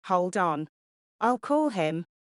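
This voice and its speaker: Clear-voiced, deferential servant girl played by a woman. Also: on the low side, big pitch swings, slow pace, no room sound, studio quality. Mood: helpful, deferential. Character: deferential young household servant woman, helpful. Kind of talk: audio drama dialogue.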